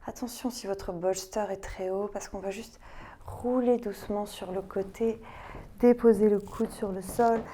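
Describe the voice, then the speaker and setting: A woman yoga teacher, a native French speaker, leading guided relaxation in a soft, calm, breathy voice that often sinks close to a whisper. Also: mid-range voice, a little hiss or hum. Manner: slow, calm, gentle. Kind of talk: guided relaxation and meditative reading.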